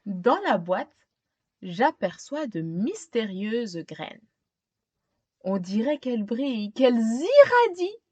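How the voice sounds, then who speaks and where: A woman reading a children's picture book aloud expressively, pausing to put simple questions to young listeners. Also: on the high side, big pitch swings, darkish tone, slightly rough, no echo. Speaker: storytime reader.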